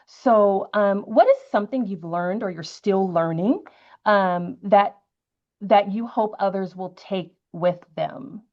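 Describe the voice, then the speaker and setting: A woman interviewing a guest on stage in a halting, appreciative, conversational way. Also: mid-range voice, highs cut off. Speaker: interviewer, middle school librarian.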